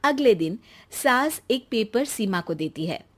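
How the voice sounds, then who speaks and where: A woman's voice: fairly high pitch, expressive, a little slow. A woman narrating a scripted family story as a storyteller.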